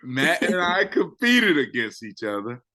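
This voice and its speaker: A man co-hosting a talk show as a public speaking coach, his voice rising and falling across a wide, lively range. He talks at an unhurried, deliberate pace. He swings from loud to soft for effect and repeats phrases for emphasis. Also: fairly high pitch. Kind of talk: casual show chat.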